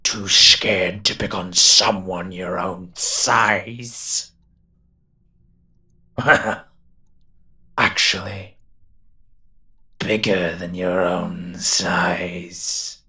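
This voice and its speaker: A menacing monster detective from an audio roleplay, acted by a man, speaks very slowly in a bright, forceful voice. He is cocky and protective, drawing out his taunts and threats and letting words fall away into breath. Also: low voice, steady tone, exaggerated voice. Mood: taunting, menacing. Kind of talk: roleplay audio drama acting.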